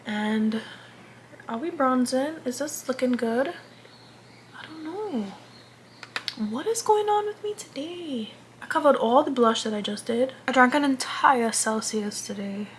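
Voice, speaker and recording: Rambling young woman, slightly high voice. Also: unhurried, a little hiss or hum. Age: 20s. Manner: rambling, self-interrupting, drifts into whispers. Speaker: vlogger. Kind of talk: casual chat while doing makeup.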